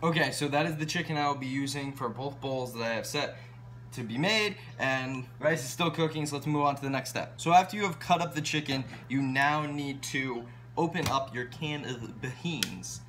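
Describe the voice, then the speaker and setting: A man's voice: medium pitch, normal speed, loud music behind the voice. A young man talking casually and enthusiastically to his viewers as a vlogger, recorded in a home kitchen on a camera at about arm's length. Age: young adult. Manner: enthusiastic, earnest. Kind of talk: casual vlog talk to viewers.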